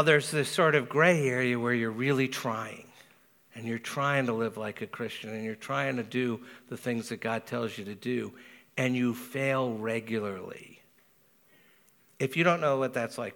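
A man preaching a sermon as pastor, speaking earnestly with a Spanish accent and slowing down to stress key words. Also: mid-range voice, expressive, gravelly, fairly bright tone. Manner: earnest, measured preaching with emphatic slow stresses.

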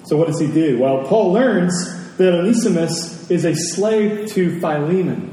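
A man preaching a storytelling sermon to a congregation, deliberate, with dramatic pauses and emphasis. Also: on the high side, warm tone. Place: large indoor church space. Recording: very echoey hall, distant mic, faint noise.

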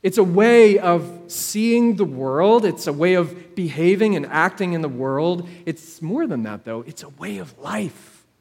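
A man preaching a storytelling sermon in a measured way, with dramatic pauses and repeated phrases. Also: sits high, expressive, gravelly, darkish tone, soft background music. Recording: long hall reverb.

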